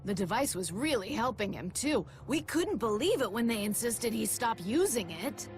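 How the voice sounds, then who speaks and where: A woman's voice: on the high side, sing-song, moderate pace, soft background music. An actress playing an outspoken, easily indignant married townswoman in a video game, with a blunt, dramatic way of talking. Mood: reproachful. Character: indignant, outspoken married woman gathering herbs. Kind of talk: video game dialogue acting.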